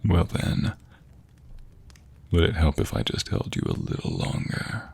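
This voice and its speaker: A man playing a doting, tender husband in an intimate ASMR roleplay, speaking softly and often slipping into breathy whispers. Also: extremely low pitch, wildly swooping pitch, measured pace, soft background music, full character voice. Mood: earnest, tender. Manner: soft, breathy, lingering delivery dropping into whispers. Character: doting, tender husband comforting his sweetheart.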